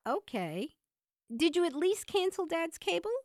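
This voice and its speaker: A puzzled, questioning wife, speaks in a very high voice. Her intonation is lively and the sound is close and dry. It is comic audio drama. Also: unhurried, dry recording, heavily acted. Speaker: caller's wife. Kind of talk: comedic audio drama acting.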